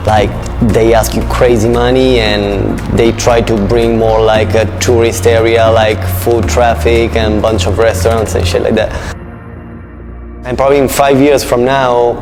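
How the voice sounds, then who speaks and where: A man from Italy speaks English with an Italian accent and non-native phrasing. He explains things informally, as in a documentary interview, with little rise and fall in his voice. Music is clearly audible behind him. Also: mid-range voice, little pitch movement, measured pace. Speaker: gallery and shop owner, interviewee.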